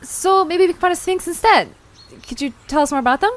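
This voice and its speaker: A woman's voice: extremely high pitch, a little fast. A woman playing an eager, inquisitive young adventurer in an audio drama. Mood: curious. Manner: eager, questioning. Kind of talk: scripted audio drama acting.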